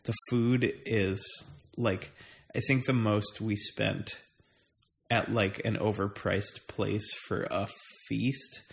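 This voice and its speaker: A man's voice: fairly low pitch, steady tone, slow pace, creaky. An American man in casual conversation, talking in a hesitant way as he thinks aloud. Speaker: conversation partner swapping travel stories. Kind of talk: casual chat.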